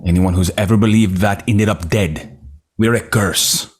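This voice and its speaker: A man's voice: fairly low pitch, measured pace, slightly rough. A man reading a broken, panicky rancher in his fifties at a screenplay table read, cursing and despairing. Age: 50s.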